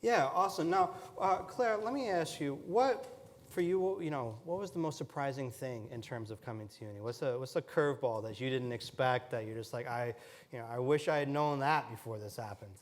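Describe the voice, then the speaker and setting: A man's voice: medium pitch, fairly quick. A man moderating a university orientation panel, asking questions in an encouraging, casual way. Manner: encouraging, asks questions, uses "you know" and "right". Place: lecture hall. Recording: long hall reverb.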